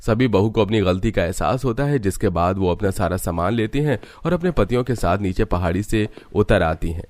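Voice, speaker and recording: Guy doing cartoon storytime narration, kinda deep, very dry sound. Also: animated, fairly quick, hardly any pauses, a little mellow, no room sound.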